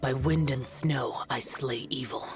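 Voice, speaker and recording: A solemn, brooding young immortal played by a man speaks in slow, terse, breathy phrases. He sounds aloof, as a game warrior, with music clearly behind him. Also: mid-range voice, lightly acted. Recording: game dialogue over music.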